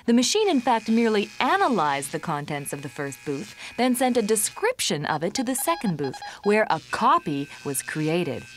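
A woman playing a wary, reasoning narrator of an animated short, telling her story in a measured, matter-of-fact way. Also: mid-range voice, soft background music, natural voice. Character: wary, reasoning woman recounting a strange invitation.

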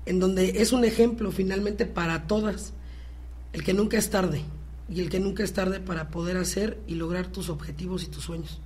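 A woman's voice: lowish voice, steady tone, normal speed, darkish tone. A woman with a Mexican accent speaking as an actress guest in a conversational interview.

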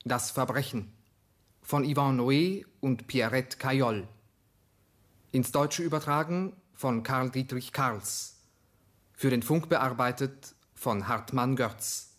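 Slow, formal male radio announcer. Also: mid-range voice, fairly bright tone. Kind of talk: formal scripted credits announcement.

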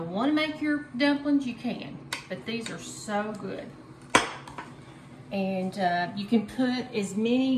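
A woman in her fifties casually showing a home recipe in her kitchen, chatty and homey, with lots of fillers and easy laughs. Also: fairly high pitch. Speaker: home cooking video host. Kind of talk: casual cooking demonstration. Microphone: camera on counter at medium distance. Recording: echoey kitchen with faint ambient noise.

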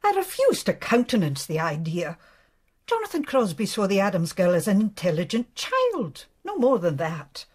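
A busy, stern British clinic administrator in a radio drama. Her voice is husky and moves sharply up and down, with formal, curt, indignant phrasing. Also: mid-range voice, huge pitch swings, normal speed.

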